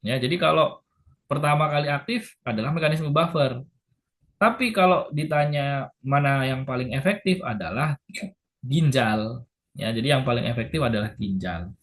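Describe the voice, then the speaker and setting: A man lecturing health workers, slow and patient, repeating key points, with a sore throat that makes him clear his throat. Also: medium pitch, fairly bright tone.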